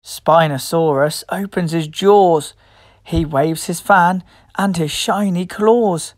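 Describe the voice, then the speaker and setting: A woman reading a picture book aloud to young children as host, expressive, playful and encouraging. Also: fairly low pitch, takes it slowly, no echo, studio quality. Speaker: children's storybook reader and host.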